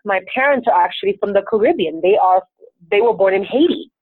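A woman's voice: medium pitch, expressive, a little fast, breathy edge, dry recording. A woman from Venezuela whose first language is Spanish, a life coach answering interview questions in an earnest, upbeat, self-assured way. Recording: tinny phone-like line, dry.

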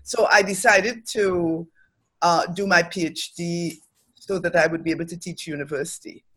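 A woman's voice: fairly low pitch, steady tone, normal speed, fairly bright tone, husky. A middle-aged woman, speaking thoughtfully and earnestly as the guest in an interview. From Trinidad. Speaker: interview guest, academic and development professional. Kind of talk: reflective interview conversation.

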